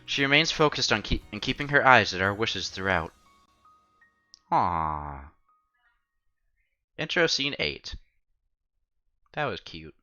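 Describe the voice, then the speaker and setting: Male streamer, expressive visual novel read-aloud, forward bright tone, faint music underneath. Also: fairly low pitch, takes it slowly, pauses often.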